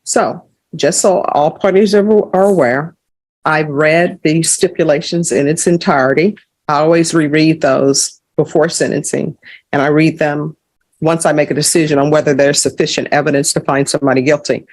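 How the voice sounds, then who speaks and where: A woman judge speaks in a slightly low voice with a dry, close sound. She is formal and procedural as she runs the hearing. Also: fairly even intonation, moderate pace, darkish tone, dry recording. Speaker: judge presiding over hearing. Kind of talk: courtroom rulings and procedure. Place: courtroom.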